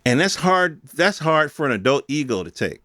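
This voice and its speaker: A man's voice: a little high, very animated, normal speed, sharp tone, dry recording, studio quality. A man hosting a podcast, giving a casual, unscripted opinion rant in informal, slangy speech with some swearing and questions thrown at his listeners. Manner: rhetorical questions addressed to listeners. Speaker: podcast host.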